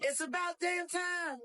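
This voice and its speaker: A woman with a fairly high voice gives sassy commentary. She mocks and stresses her points hard. Loud crowd noise sits behind her. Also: low-quality recording. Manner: sassy, mocking, emphatic. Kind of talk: animated commentary.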